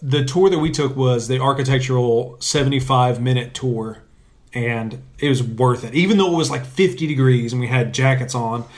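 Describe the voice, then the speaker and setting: A man's voice: mid-range voice, moderate pace. A young man co-hosting a podcast in casual chat, jokey and self-deprecating, laughing often. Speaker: podcast co-host. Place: sitting on a bench.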